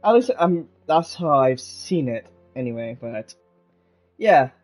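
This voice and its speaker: Gameplay streamer: informal, rambling, mid-pitched commentary with soft music behind. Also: sing-song, slow. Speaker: gaming streamer. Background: game music.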